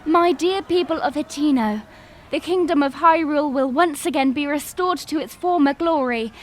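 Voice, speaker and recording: Young princess for fantasy audio drama played by a woman: high register, earnest, regal, declamatory public address, slight noise behind. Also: normal speed, lightly acted. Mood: resolute, proud. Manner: formal, declamatory. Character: young princess rallying her people, formal and earnest. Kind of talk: audio drama acting, oratorical speech.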